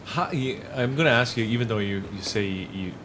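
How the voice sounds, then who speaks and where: An interviewer asking an author halting, self-correcting questions. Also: medium pitch, noisy background.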